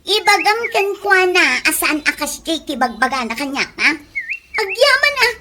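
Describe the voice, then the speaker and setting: A woman's voice: very high voice, very animated, moderate pace. A woman with an Ilocano accent playing a quarrelsome, thin-skinned gossip in a comedy radio drama, whining and confrontational. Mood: angry. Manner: indignant, confrontational, whiny exclamations. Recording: noisy home-made radio drama recording.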